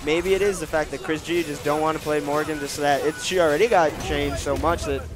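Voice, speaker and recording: A male stream commentator with a nasal voice that he pushes a little lower than usual. He reads out viewer questions and chats through the answers in a loose, casual way. Music plays clearly beneath him. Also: steady tone, a little fast.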